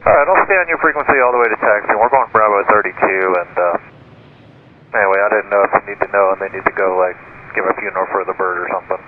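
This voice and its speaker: A man's voice: lowish voice, quick pace. A man working as an airline pilot, talking in a casual, conversational way over aircraft radio. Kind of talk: air traffic radio calls, informal. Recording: tinny, noisy aircraft radio transmission.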